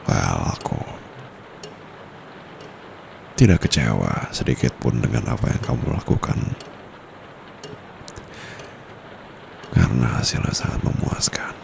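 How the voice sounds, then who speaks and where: Casting: male actor as smug, dominant private tutor for ASMR roleplay. Indonesian accent, extremely low gravelly voice, teasing drawn-out murmurs slipping into whispers. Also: heavily acted. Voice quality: very deep, gravelly, breathy murmur. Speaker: amateur voice actor. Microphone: close condenser microphone. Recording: close mic with clear background noise.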